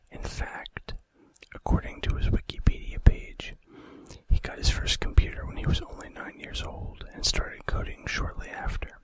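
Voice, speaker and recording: Male ASMR narrator, whispered throughout, chatty and informal, reading out trivia. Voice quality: breathy unvoiced whisper. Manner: casual, conversational asides. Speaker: ASMR video host.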